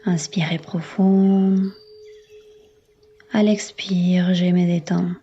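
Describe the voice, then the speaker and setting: A woman yoga instructor leading a guided relaxation in a calm, soothing, unhurried manner with long pauses. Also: lowish voice, highs cut off, a little hiss or hum. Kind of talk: guided meditation, yoga nidra.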